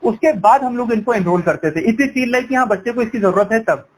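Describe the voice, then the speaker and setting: A man's voice: very high-pitched, fairly quick. A man working as an education sales counselor, explaining persuasively on a call, mixing Hindi and English and checking in with tag questions. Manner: switches between Hindi and English. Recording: noisy sales call recording.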